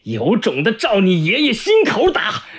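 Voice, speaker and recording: Casting: a narrator as furious captive male officer, taunting and insolent, husky edge, leaping pitch, for audiobook drama. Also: huge pitch swings, measured pace, full character voice. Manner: taunting, shouting insults. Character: hot-tempered, defiant male captive officer, foul-mouthed.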